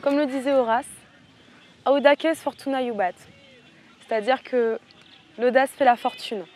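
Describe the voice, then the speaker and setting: A woman's voice: sits high, fairly even intonation, unhurried, a little mellow, some chatter behind, a little hiss or hum. A teenage woman from French Guiana, a student activist leader, giving impassioned, rhetorical interview answers outdoors. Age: teens. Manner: impassioned, rhetorical, repeats phrases.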